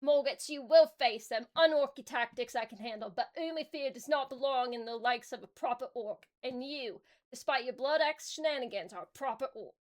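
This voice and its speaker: A woman voicing a loud, bossy male orc warboss in a put-on British accent, barking orders. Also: moderate pace, few pauses, lightly acted. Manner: booming, blustering commands.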